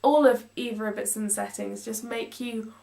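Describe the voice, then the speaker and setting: A young English woman speaks in a high voice that often dips into creaky fry. She reviews books in a chatty, emphatic style, leaning hard on stressed words. The mic sits at a distance, so she sounds a little removed. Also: steady tone, normal speed, dark tone. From England. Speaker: book reviewer. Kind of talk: casual, chatty book review vlog. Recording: distant mic with some background noise.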